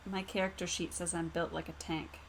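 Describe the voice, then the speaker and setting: A woman's voice: lowish voice, little pitch movement, brisk. A woman bantering casually as a player in a tabletop roleplaying game. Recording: faintly noisy room recording.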